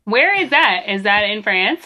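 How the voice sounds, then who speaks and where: A woman's voice: medium pitch, big pitch swings, a little slow, bright, forceful tone. A woman co-hosting a casual podcast, reacting playfully and giggling often. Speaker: podcast co-host. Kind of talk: casual chat.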